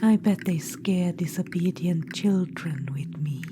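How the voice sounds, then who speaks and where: A woman's voice: on the low side, unhurried, noisy background, lightly acted. A woman voicing a vain, imperious undead witch queen in a whispered ASMR roleplay, teasing and condescending toward the listener. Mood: wistful. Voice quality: soft whispering.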